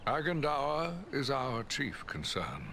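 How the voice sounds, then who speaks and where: An actor playing a wise old wizard in a fantasy game, grave and commanding, with measured, theatrical authority. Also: male voice, medium pitch, big pitch swings, bright, forceful tone, a little hiss or hum, full character voice. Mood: concerned. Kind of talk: fantasy game cutscene acting.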